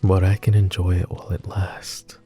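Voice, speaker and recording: A man voicing a wry, tender mafia boss in an ASMR roleplay, soft-spoken and teasing. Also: sits low, level tone, normal speed, faint music behind, natural voice. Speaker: voice actor.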